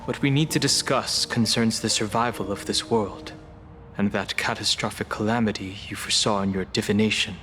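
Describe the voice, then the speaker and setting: Earnest, low-voiced young ally. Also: male voice, fairly even intonation, normal speed, sharp tone. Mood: urgent, diplomatic. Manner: polite, serious. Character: earnest, level-headed young male ally, politely urgent. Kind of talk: dramatic game cutscene acting. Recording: dramatic music behind.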